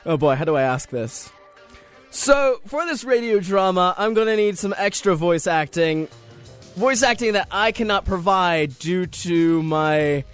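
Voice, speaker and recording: A young man hosting a radio show solo, chatting casually in a rambling, jokey, self-deprecating way over music. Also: fairly high pitch. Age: young adult. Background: music under the voice.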